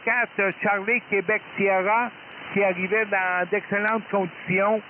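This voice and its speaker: Through a thin, hissy shortwave link comes a man's high-pitched voice. He talks like a ham operator making contact, courteous and hesitant, often doubling back on his words. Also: flat delivery, measured pace. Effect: shortwave radio transmission. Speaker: amateur radio operator. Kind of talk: unscripted ham radio exchange. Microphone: radio transceiver microphone. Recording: narrowband hissy shortwave radio signal with noise.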